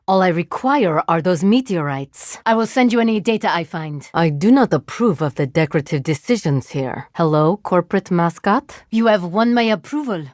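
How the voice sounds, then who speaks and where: An actress brings to life a reanimated woman agent in a video game, commanding her drones with unhurried clinical calm. Every line comes out precise, formal and flatly deadpan, pitched a little low. It is recorded close and completely dry, with no room around it. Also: no room sound, close to an everyday voice. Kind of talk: game voice lines. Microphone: close studio mic.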